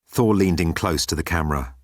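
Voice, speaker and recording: Low-voiced man narrating comic fiction. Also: a little fast, no room sound, clean studio sound. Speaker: audiobook narrator.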